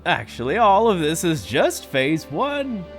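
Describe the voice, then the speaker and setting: A high, slow-talking old man, played by an actor in an audio drama. He is a grandiose, cheerful park founder with a theatrical, lively swing to his speech. Music plays beneath him. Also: full character voice. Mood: visionary, grand. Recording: music under the voice.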